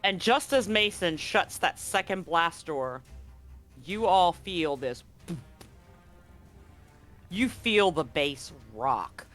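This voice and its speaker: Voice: medium pitch, expressive, takes it slowly, long pauses, a bit bright. Game master talking casually over music. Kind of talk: live tabletop game narration, casual explaining. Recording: music behind the voice. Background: background music.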